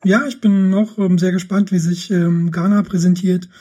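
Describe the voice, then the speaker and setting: A man's voice: sits high, normal speed. A man hosting a podcast, chatting casually about football with a guest and wrapping up the show. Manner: relaxed, thanks the guest and wraps up the show. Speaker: podcast host.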